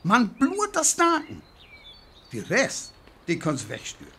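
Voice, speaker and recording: A man playing a zealous, grandiose survivor scheming to seize war machines in a radio play, with a North Frisian accent, swinging from hushed to exclaiming. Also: very high-pitched, wildly swooping pitch, takes it slowly, vocal fry, slight background noise, heavily acted. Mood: scheming, persuasive.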